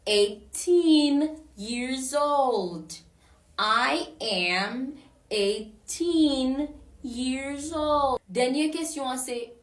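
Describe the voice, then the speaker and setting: Slow, deliberate woman teacher. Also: sits high, fairly bright tone, far from the mic. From Haiti. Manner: repeats English phrases slowly after Creole explanations. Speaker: English teacher. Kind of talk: slow, deliberate language lesson. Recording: echoey room, voice set back from the mic.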